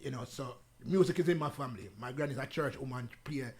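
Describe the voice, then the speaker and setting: A man from the UK with a Jamaican accent, the guest on an interview show, telling stories in a relaxed, patois-laced way. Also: mid-range voice, fast. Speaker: guest artist. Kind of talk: casual interview chat.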